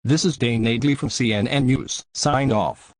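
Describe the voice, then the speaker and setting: A man acting as a male news anchor with a mid-pitched voice in a very dry recording. He delivers a scripted crisis bulletin in a plain, measured, earnest way. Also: no room sound, close to an everyday voice. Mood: concerned. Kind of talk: scripted fictional newscast.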